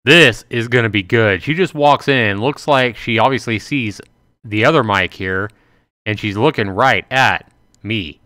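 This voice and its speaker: A man's voice: lowish voice, measured pace, pushed and bright, no echo, studio quality. A man hosting a game livestream, chatting informally to viewers and reacting aloud as he reads along. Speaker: livestream host. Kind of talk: casual game livestream commentary with dramatic read-aloud.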